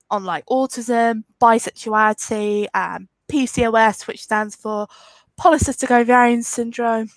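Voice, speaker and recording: A woman's voice: a little high, dry recording, rough recording. A woman in her twenties with an Essex accent, sniffly from a cold, chatting casually through a live Q&A and answering comments frankly. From England. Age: 20s. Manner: chatty and rambling, frank and defiant with trolls. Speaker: YouTuber hosting a live Q&A. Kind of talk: casual live-stream chat reading comments.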